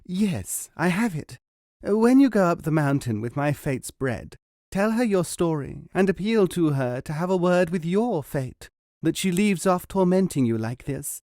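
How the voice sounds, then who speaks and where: A man voicing a kindly, excitable noblewoman in a storybook reading, eager and coaxing. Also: high voice, measured pace, no room sound, studio quality, pitched above the performer's own voice, heavily acted.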